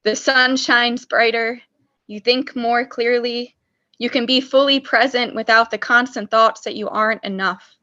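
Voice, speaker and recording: A woman performing her spoken word poetry at an online open mic, reciting in a measured, earnest way. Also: on the high side, steady tone, sharp tone, muffled recording. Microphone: video call.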